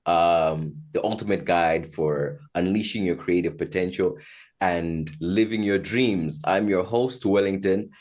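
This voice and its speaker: A man's voice: low voice, measured pace, a little mellow. A man hosting a podcast in a conversational interview style. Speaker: podcast host.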